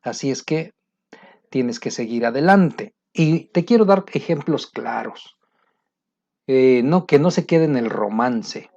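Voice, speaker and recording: A middle-aged Latin American man giving an encouraging English lesson in a casual talking style, pausing often with fillers. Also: mid-range voice, lively intonation, gravelly, dark tone. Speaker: English teacher. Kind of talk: motivational video lesson. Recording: muffled lo-fi recording.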